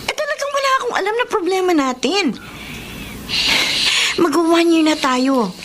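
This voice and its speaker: Sulky young Filipino girlfriend for radio romance; very animated, emotional, on a noisy recording. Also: very high-pitched, normal speed. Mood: hurt, pleading. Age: young adult. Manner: emotional, reproachful. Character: touchy, sulky young woman hurt by her boyfriend. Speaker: radio drama actress. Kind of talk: Philippine radio romance drama acting. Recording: noisy radio drama broadcast.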